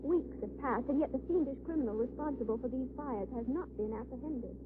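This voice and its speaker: Actress as a high-voiced 1930s radio drama companion. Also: female voice, fairly quick, close to an everyday voice. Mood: sympathetic, earnest. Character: poised, sympathetic female companion of a crime fighter. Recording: tinny, noisy old radio recording, crowd behind.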